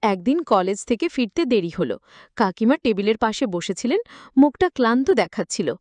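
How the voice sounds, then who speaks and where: High-pitched, lively woman narrator. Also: normal speed, no echo. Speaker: story narrator. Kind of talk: scripted narration of an emotional story.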